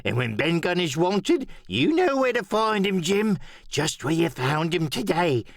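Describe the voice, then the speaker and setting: A man voicing a wily, anxious, half-crazed old marooned pirate with drawn-out, rambling sailor talk, in an audiobook play. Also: sits high, big pitch swings, gravelly, no echo, studio quality, voice pushed up, heavily acted. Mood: wily, insistent. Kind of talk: audiobook radio play acting.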